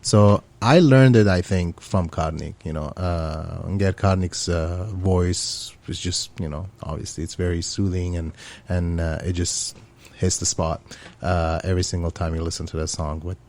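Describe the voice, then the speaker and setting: Middle-aged man from Syria, very low voice, earnest interview guest who talks with many fillers. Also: fairly even intonation, moderate pace, a little mellow. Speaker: musician guest. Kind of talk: casual interview chat.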